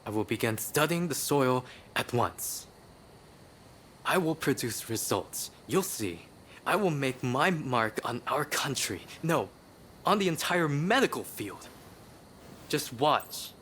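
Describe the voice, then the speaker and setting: Earnest, slow-spoken ambitious researcher. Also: male voice, medium pitch, lively intonation, a bit bright, slight background noise. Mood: determined.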